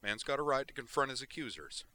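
A man voicing a gruff male security chief who bellows orders and roars at everyone. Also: mid-range voice, sing-song, fast, pitched above the performer's own voice. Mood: bellowing. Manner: bellowing, shouting.

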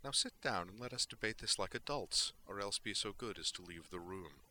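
A man's voice: fairly low pitch, brisk, sharp tone, dry recording. A man voicing an alien admiral in an audiobook, dry, cutting and articulate while scolding. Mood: dry, reproving.

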